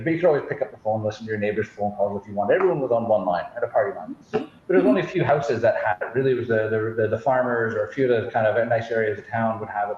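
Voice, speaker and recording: A man's voice: lowish voice, darkish tone. Brief: man from Zimbabwe, anecdotal panel talk, very echoey and dull-sounding recording. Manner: anecdotal, with many "uh" fillers. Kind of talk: panel discussion, storytelling.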